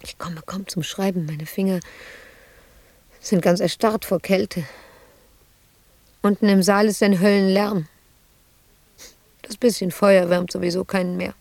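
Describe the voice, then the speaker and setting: An actress playing an embittered, lonely middle-aged woman sculptor in a radio drama monologue, husky and intimate, often slipping into a whisper. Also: medium pitch, fairly even intonation, measured pace, pauses often, a little mellow, no room sound. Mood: bleak, agitated. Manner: brooding, intimate, shifting between whisper and outburst.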